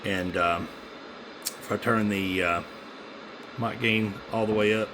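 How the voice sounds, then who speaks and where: A man's voice: lowish voice, fairly even intonation, slow. A man casually showing off used radio equipment, unscripted, in a hesitant, rambling way and often correcting himself. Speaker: seller demonstrating used radio gear. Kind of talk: casual unscripted product demo. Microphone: handheld phone. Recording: clear background noise on handheld phone.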